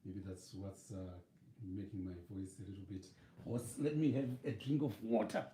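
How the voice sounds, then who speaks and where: A middle-aged Zimbabwean-accented evangelist talks on his live stream in a dark, mellow voice, slightly hoarse. He wanders along with fillers and restarts. The sound is roomy and distant, picked up by a webcam, with a faint hiss behind him. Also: fairly low pitch, lively intonation, moderate pace. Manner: rambling, hesitant, many fillers and restarts. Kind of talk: casual live-stream chat. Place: living room with a leather sofa and shelves.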